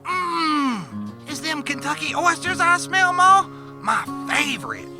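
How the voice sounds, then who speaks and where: A performer playing an excitable eleven-year-old hillbilly boy in a comedic western audio drama, dramatic, with a twangy Southern US accent. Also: high-pitched, slow, gravelly, over music, exaggerated voice. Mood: delighted.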